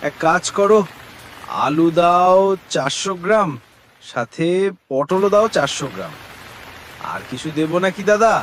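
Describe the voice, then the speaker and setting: A villager trading at a market in an animated tale. The voice is slightly high with lively swings. Faint, steady rain noise is heard behind it. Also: male voice, a little slow, pauses often, full character voice. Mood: haggling. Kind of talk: animated cartoon story acting.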